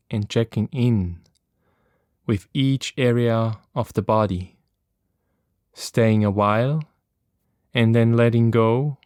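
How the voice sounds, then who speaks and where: A man guiding a body scan meditation as a teacher, giving gentle, unhurried instructions with long pauses. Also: medium pitch, clear, resonant tone, dry recording, studio quality.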